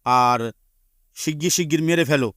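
A man's voice: fairly high pitch, normal speed, a bit bright, no room sound, clean studio sound, lightly acted. A man playing a fretful, commanding king in a fairy-tale cartoon, deliberating theatrically. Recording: music behind the voice.

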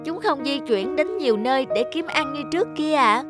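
A woman voicing a curious, naive young sprite child, earnest and respectful, in an animated fable. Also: high-pitched, normal speed, over loud music. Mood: puzzled.

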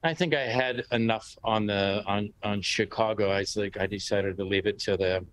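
Older American male guest, sixties, lower register, conversational answers over video call. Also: steady tone, normal speed. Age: 60s. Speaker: interview guest, painter. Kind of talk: casual conversational interview answers. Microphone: video call, sitting back from the device.

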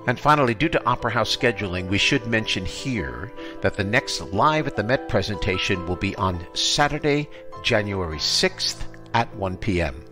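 Older man, American accent, low voice, podcast host, announcing and interviewing. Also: animated, moderate pace, over music.

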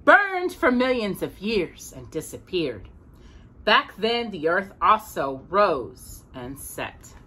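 A middle-aged woman hosts a children's storytime with a lively, swooping delivery. Her voice is bright and forward, and she takes her time through each phrase, leaning on important words with clear expression. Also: mid-range voice. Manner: expressive, deliberate, with emphatic word stresses. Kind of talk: animated read-aloud of a picture book to a child. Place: small indoor room with bookshelves.

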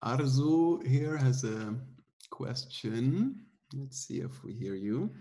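A man's voice: medium pitch, slow. Middle-aged guy on a webcam call, chatty and loose, lots of ums while he explains. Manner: relaxed, explaining with many fillers. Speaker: theatre director giving an artist talk. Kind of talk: casual online talk. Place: indoor room at home. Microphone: laptop webcam video call.

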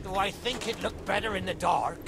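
An actor playing an old, wily undead pirate, a talking skull, in a video game, speaking in old pirate talk. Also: male voice, high voice, heavy background noise. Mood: scheming. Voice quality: gravelly. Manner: old pirate speech, drawn-out and scheming. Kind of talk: video game character dialogue. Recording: game audio with loud music behind.

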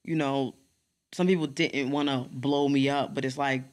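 Young NY woman, rapper guest: deep, strong voice, blunt slangy delivery, studio boom mic. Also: normal speed. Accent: New York. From New York City. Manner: blunt, animated, street slang. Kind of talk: casual interview chat. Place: podcast studio lounge. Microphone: studio mic on boom arm, headphones.

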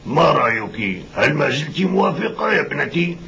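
A man's voice: on the high side, very animated, normal speed, muffled recording, noisy background. An actor playing a stern middle-aged man seeking a servant, proclaiming in a cartoon. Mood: questioning. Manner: loud proclaiming. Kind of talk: cartoon dub acting.

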